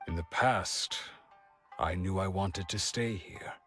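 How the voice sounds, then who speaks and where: Lost, weary old villager, low voice, very slow delivery, resigned, game dialogue, faint music. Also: male voice, a bit bright. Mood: forlorn. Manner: hesitant, resigned, brooding. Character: weary older blind male villager, a lost soul. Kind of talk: English video game voice acting.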